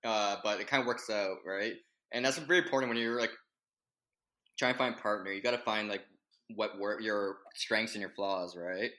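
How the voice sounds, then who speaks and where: A reserved young man speaking in a monotone as a guest in a casual podcast chat. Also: fairly low pitch, moderate pace. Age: young adult.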